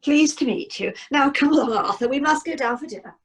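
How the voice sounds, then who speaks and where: A woman's voice: sits high, animated, brisk, fairly bright tone. A woman playing a curt, snobbish, impatient wife in an amateur radio drama. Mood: brisk, impatient. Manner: curt, commanding.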